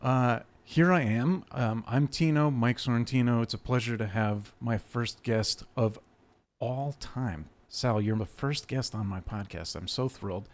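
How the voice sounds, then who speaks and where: A man's voice: mid-range voice, measured pace. A young adult man hosting his podcast from a home webcam setup, chatty and joking, rambling with fillers. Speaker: podcast host. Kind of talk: casual interview chat.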